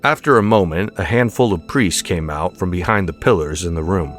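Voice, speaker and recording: A man narrates a dramatic story in a fairly low voice. Soft music runs underneath his scripted, storytelling delivery. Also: brisk. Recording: music behind the voice. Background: background music.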